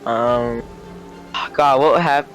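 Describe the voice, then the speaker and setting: A man's voice: mid-range voice, steady tone, very slow, fairly bright tone, faint music behind, poor audio. A young man chatting casually and telling stories, rambling, searching for words and swearing freely. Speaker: storyteller on a gaming channel. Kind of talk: casual storytelling chat. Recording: clear vehicle-like background noise.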